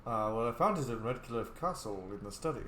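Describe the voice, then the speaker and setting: A man's voice: medium pitch, expressive, brisk, fairly bright tone, roomy sound, slight background noise. A man hosting a roleplay let's play, chatting casually and playfully voicing his character's replies. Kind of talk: casual gameplay commentary with voiced replies.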